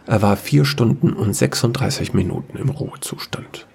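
A man's voice: mid-range voice, moderate pace, vocal fry, a little mellow. A man reading scripted narration for a science-fiction fairy tale audio story.

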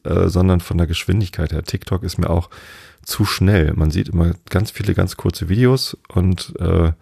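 A man in his forties hosting a bedtime podcast, chatting slowly and rambling with many hesitations. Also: deep voice, gravelly, mellow, husky, no echo, clean studio sound. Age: 40s. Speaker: podcast host. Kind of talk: calm bedtime podcast chat.

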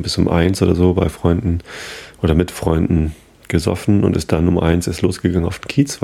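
A man in his thirties hosts a bedtime podcast, musing in a soft, rambling way. His voice sits very low with a gravelly fry. The tone is dark and slightly husky. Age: 30s. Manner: rambling, self-questioning, soft-spoken. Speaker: podcast host. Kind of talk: casual chat then sleepy reading aloud.

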